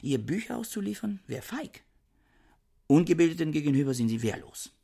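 An actor playing a reclusive, irritable scholar in a radio play, stiff and disdainful, breaking into agitated outbursts. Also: male voice, a little high, very animated, moderate pace, vocal fry, background noise. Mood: scornful. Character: bookish reclusive male scholar, irritable, scornful.

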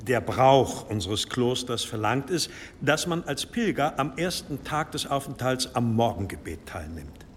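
A man playing a courteous, unctuous monastery abbot with quiet authority in a radio drama, formal, measured and gently insistent. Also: medium pitch, big pitch swings, big-room sound, slight background noise. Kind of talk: German radio drama acting.

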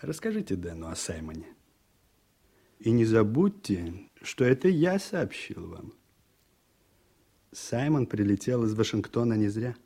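An actor playing a gossipy court clerk in a radio drama, talking in a familiar, insinuating way. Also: male voice, on the low side, big pitch swings, unhurried, long pauses, mellow, exaggerated voice. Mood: gossipy, conspiratorial. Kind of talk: Soviet-era radio drama acting.

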